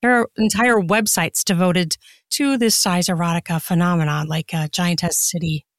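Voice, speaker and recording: A woman's voice: lowish voice, steady tone, moderate pace, dry recording, studio quality. A woman speaking as a podcast guest in casual interview chat, chatty and open as she explains things. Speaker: podcast guest, erotica author and narrator.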